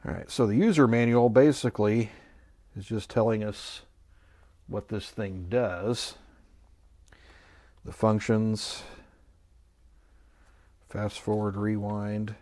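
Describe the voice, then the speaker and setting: A man speaks in a fairly low voice, as the host of a casual review. He thinks aloud without a script, often hesitating as he muses. His manner is mildly skeptical. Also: fairly even intonation, slow pace, pauses often, darkish tone, no echo. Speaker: host of an electronics review channel.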